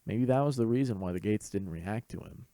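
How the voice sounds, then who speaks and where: A man's voice: lowish voice, fairly quick, mellow, no room sound, clean studio sound. A man reading scripted fan fiction as the narrator of an audio story.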